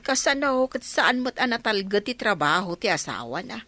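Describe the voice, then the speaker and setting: An anxious, critical mother in a radio play. She has a mid-pitched, sharp, forceful voice with a Filipino accent, recorded dry and close. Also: big pitch swings, moderate pace, no echo. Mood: worried. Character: worried, disapproving mother of a grown daughter. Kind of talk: Filipino radio drama acting.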